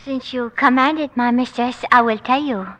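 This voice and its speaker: An actress playing a loyal, secretive foreign maidservant in a 1940s radio mystery, speaking formal broken English. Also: female voice, high voice, fairly even intonation, normal speed, sharp tone. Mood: dutiful, confiding. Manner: formal clipped broken English, dropped articles. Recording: vintage 1940s radio recording.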